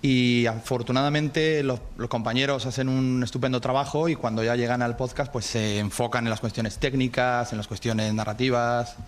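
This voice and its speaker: Mexican man, lecturer on a panel, measured and reflective delivery. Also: medium pitch, fairly even intonation. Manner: thoughtful, measured, with restarts. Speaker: university lecturer. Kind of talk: panel discussion.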